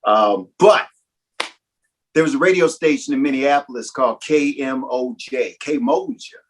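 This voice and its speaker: A man, a rapper, chatting casually on a video call, relaxed and slangy as he tells his story. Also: medium pitch, unhurried, a little hiss or hum. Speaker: guest, rapper.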